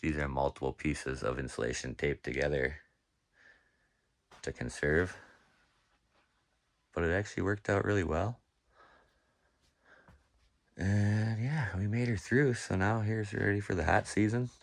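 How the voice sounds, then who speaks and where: Very deep, nasal young man rambling. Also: no echo. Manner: rambling, laid-back, many fillers and restarts. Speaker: off-grid cabin vlogger. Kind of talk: casual talk to camera. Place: outdoors at an off-grid cabin property.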